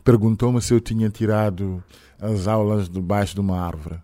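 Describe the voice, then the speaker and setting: A middle-aged man from Senegal speaks with a French-tinged Senegalese accent. His voice sits fairly low. He is being interviewed and talks in a measured, reflective way, pausing and hesitating often. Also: steady tone, mellow. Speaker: guest. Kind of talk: interview conversation.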